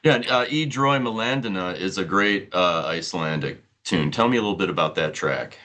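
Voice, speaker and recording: Low-voiced guy doing a chill music interview, laughs a lot, super into the band. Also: expressive, normal speed, sharp tone. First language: English. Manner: easygoing, laughs often, enthusiastic praise. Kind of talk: casual interview.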